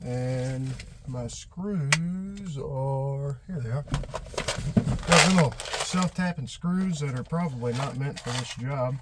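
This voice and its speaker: A man's voice: mid-range voice, slow pace, very noisy. A man chatting casually and unscripted to the camera as a DIY vlogger, in a rambling, folksy, colloquial way. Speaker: vlogger, DIY truck project host.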